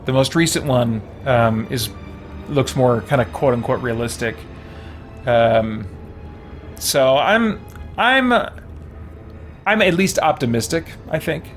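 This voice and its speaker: Male game streamer, mid-pitched, casual and rambling, chatting to viewers over music. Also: expressive, slow pace, long pauses. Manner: rambling, thinking aloud. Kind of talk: casual livestream gameplay chat, reading viewer comments. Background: background music.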